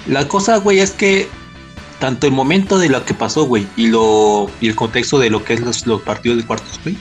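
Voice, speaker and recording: A man's voice: medium pitch, faint music behind. A young man with a Mexican Spanish accent, rattling on crudely in casual banter with friends. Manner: rapid, crude, says güey constantly.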